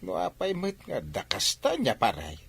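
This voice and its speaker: A man playing an older village father in a radio drama, concerned and halting, with conversational exclamations. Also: on the high side, huge pitch swings, vocal fry, husky, dry recording, full character voice. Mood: matter-of-fact.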